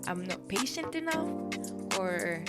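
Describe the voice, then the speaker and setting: A woman with a Japanese accent hosts a casual podcast, bubbly and chatty in her talk. Her voice sits at a middle pitch. Loud music plays behind her. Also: sing-song. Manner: bubbly, chatty, quick to interject. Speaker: podcast host. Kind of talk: casual interview chat.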